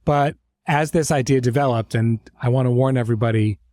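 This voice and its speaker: A man's voice: medium pitch, brisk, a little mellow, dry recording. Casual male podcast host, dry studio sound. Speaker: podcast host and series creator. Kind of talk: casual podcast introduction and conversation.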